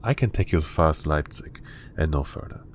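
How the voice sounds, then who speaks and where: A man acting as a businesslike male intelligence handler who speaks in a crisp, official manner in an audio roleplay. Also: rumbling low, brisk, a little hiss or hum.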